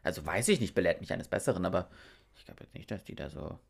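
A man's voice: deep voice, animated, fast talker, creaky. A man co-hosting a casual podcast chat, playful and slangy, often laughing. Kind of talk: casual chat, quizmaster in guessing game.

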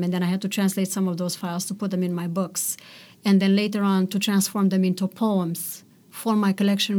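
Middle-aged woman, poet guest, measured and reflective. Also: mid-range voice, darkish tone. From Romania. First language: Romanian. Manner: thoughtful, measured, searching for words. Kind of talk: poetry reading and reflective interview answers.

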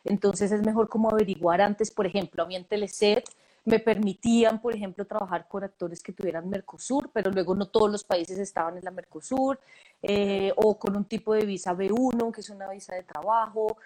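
A woman, a casting director giving relaxed, thoughtful interview answers with many fillers and restarts. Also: mid-range voice, fairly quick, low-quality recording.

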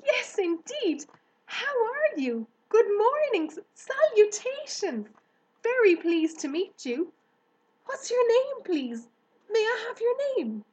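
A woman's voice: very high-pitched, very animated, takes it slowly, darkish tone, pitched above the performer's own voice, heavily acted, low-quality recording. A woman voicing an eager, innocent young male piglet in a children's storybook, stammering and earnestly polite. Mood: eager, delighted.

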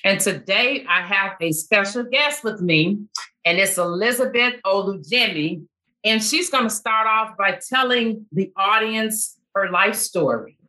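A woman hosts a podcast and leads the interview at a slow, unhurried pace. Her voice is bright and clear, and she keeps encouraging her guest with short affirmations. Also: mid-range voice, lively intonation, rough recording. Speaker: podcast host.

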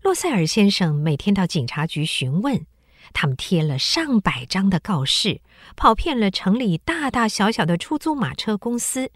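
A woman narrating a literary story in an expressive, dramatic storytelling way. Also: medium pitch, normal speed, no echo, studio quality.

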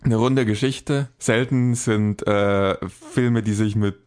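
Young man casting note: low voice, rambling emphatic podcast film reviewer. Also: steady tone, takes it slowly, a little mellow, clear, resonant tone. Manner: rambling, self-correcting, emphatic. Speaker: podcast co-host. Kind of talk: casual film review chat.

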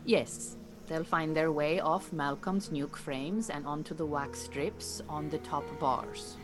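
A woman playing a calm, capable Iranian beekeeping teacher in a naturalistic audio drama, giving measured, reassuring instruction. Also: sits low, over music. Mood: calm, instructing. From Iran.

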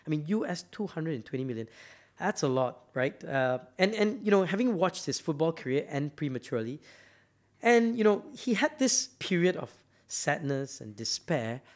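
Lively man giving a talk. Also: fairly high pitch. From Malaysia. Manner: emphatic, repeats words while building up to a point. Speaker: speaker. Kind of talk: motivational talk.

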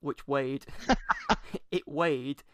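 A man's voice: medium pitch, very slow, a bit bright, clear, resonant tone, no echo, studio quality. A British man co-hosting a casual comedic chat, irreverent and sweary, presenting bits he has looked up. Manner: laughs through speech, irreverent, sweary. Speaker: podcast co-host presenting researched facts.

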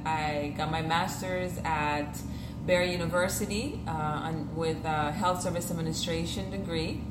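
A woman in her thirties from Haiti, French her first language, hosting her own podcast in a chatty, self-correcting monologue full of fillers and restarts. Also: low-pitched. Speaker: podcast host, realtor. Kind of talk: casual personal monologue. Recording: roomy echo with clear background noise.

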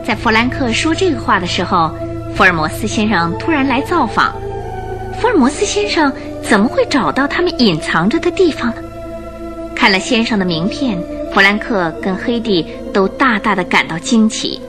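A woman narrating a scripted Chinese radio drama in an expressive storytelling manner. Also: a little high, moderate pace, over music.

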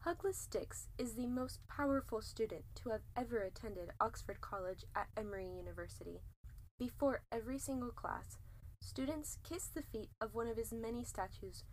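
A woman's voice: on the high side, slight background noise, rough recording. A young woman co-hosting and narrating a student podcast in a casual, playful style. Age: young adult. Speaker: co-host and narrator.

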